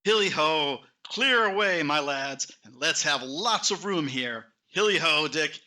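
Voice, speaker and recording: A man's voice: animated, measured pace, sharp tone, exaggerated voice. A man voicing a hearty, generous old male employer, calling out cheerfully in an amateur radio play read over a video call. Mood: jovial. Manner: booming cheerful calls.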